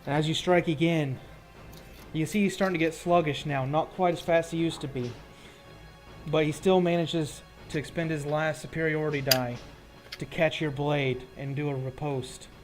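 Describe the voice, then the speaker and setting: A man's voice: fairly high pitch, steady tone, normal speed, faint music behind. A man narrating a tabletop role-playing game as game master, casual and descriptive.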